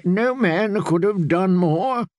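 Audiobook figure: male narrator voicing a cowering old healer, high and trembling, stammers, dry booth. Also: slow pace, a little mellow, no echo. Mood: fearful. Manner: quavering, stammering, pleading. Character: frightened elderly male court healer, stammering. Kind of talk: audiobook character voice.

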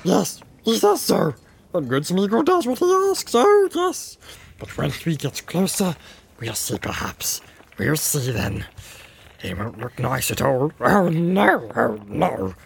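A man's voice: on the high side, wildly swooping pitch, slow pace, gravelly, pitched above the performer's own voice, full character voice. A man voicing a wretched, scheming creature in an audiobook, whining and hissing in broken, repetitive phrases that often sink to whispers. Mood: fawning, sly. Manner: repeats short phrases in broken, childlike grammar.

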